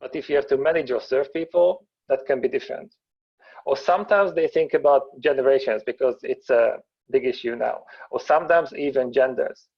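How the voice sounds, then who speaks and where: A man from Hungary, giving a webinar presentation in a steady, explanatory way over a video call. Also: mid-range voice, a little fast, gravelly, husky. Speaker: guest presenter. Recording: dull, muffled webinar audio.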